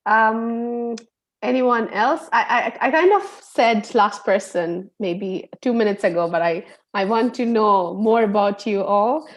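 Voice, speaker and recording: A woman's voice: a little high, slow pace. Chatty woman hosting a video call. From Bangladesh. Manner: friendly, chatty, laughs often. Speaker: online program facilitator.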